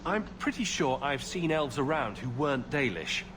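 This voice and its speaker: Wry hero played by a man, medium pitch with lively swings, blunt delivery, fantasy game, crowded background sound. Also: normal speed, over a noisy crowd, close to an everyday voice. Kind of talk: fantasy video game acting.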